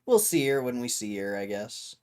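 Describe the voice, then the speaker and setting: A hoarse young man hosting a livestream in a loose, rambling way, talking to his chat and often clearing his throat. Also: medium pitch, very animated, moderate pace. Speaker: livestream host.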